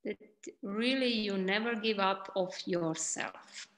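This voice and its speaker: Soft-spoken woman. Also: mid-range voice. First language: Croatian. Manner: halting non-native English, gentle and encouraging. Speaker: class organizer and translator. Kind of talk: video call Q&A. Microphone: video call. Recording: roomy video call audio.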